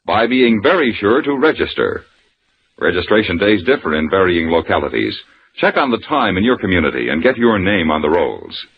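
Deep animated male announcer, old radio. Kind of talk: scripted public service announcement. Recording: muffled old radio recording, hissy.

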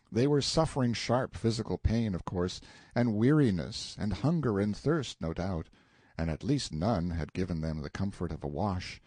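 Low, husky man reading a novel expressively. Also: mellow, no room sound, clean studio sound. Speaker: audiobook narrator. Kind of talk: scripted literary narration of a novel.

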